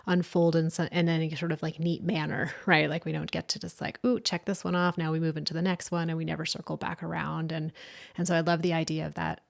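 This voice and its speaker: Female podcast host, low register with vocal fry, reflective and conversational. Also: little pitch movement, quick pace. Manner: thoughtful, frequent restarts and "like". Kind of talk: conversational interview.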